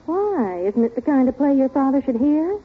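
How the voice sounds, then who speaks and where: A woman's voice: high voice, big pitch swings, normal speed. An actress playing a sensible American middle-aged housewife and mother in a 1940s radio sitcom, chiding and soothing by turns. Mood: brisk, motherly. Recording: noisy, tinny old radio recording.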